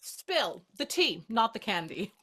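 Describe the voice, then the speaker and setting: A woman's voice: on the high side, sing-song, measured pace, fairly bright tone, breathy edge, heavily acted. A woman acting in a naturalistic drama as a playful, caring best friend with a light, teasing, encouraging manner. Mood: teasing, interested.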